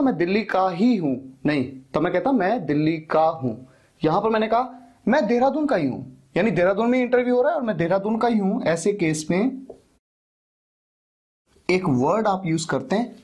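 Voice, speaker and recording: An Indian man, a native Hindi speaker, teaching spoken English by explaining and repeating example sentences in a deliberate, emphatic way. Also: high voice, a little mellow, slightly rough, lo-fi sound.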